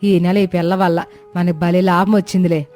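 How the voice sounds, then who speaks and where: A woman's voice: on the low side, flat delivery, normal speed, mellow, faint music behind, lightly acted. Woman playing a kindly rustic village mother. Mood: warm, chatty. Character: kindly, self-sacrificing middle-aged village mother.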